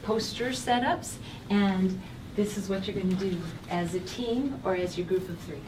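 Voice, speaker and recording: A woman teacher briskly explaining a group activity and asking questions. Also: medium pitch. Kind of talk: classroom instructions. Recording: echoey hallway, distant mic, noise.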